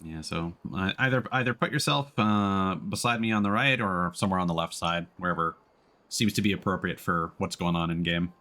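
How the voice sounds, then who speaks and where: A man's voice: low voice, a little fast, sharp tone. A man doing casual live gameplay commentary as a streamer, hesitantly thinking aloud. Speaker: game streamer.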